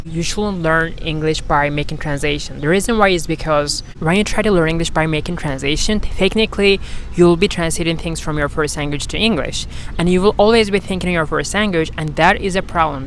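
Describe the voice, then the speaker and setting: A man's voice: on the high side, a little fast, fairly bright tone, a little hiss or hum. Earnest Turkish-accented man giving advice. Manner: earnest, instructive, repeats himself to stress points. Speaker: English learning vlogger. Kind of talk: explaining study tips to viewers.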